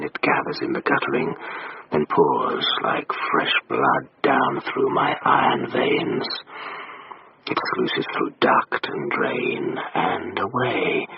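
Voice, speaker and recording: Brief: ancient brooding building, extremely deep and slow, solemn poetic radio monologue. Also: male voice, full character voice. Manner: solemn, ominous, deliberate. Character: brooding, ancient spirit of a city terminus building. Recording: noisy, dull radio drama recording.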